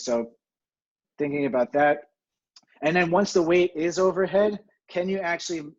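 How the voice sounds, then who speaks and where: A man from New Jersey teaching a home exercise webinar from his home, chatty and self-deprecating, with a steady, fairly monotone delivery and filler words. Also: on the high side, muffled recording. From New Jersey, USA. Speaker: physical therapy webinar presenter.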